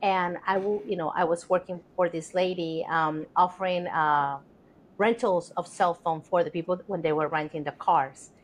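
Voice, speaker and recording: A woman's voice: lowish voice, fairly even intonation, normal speed, slight background noise, poor audio. A middle-aged woman from Colombia, a Spanish speaker, telling her story as a podcast guest in an earnest, reflective way with many fillers. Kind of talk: casual interview storytelling.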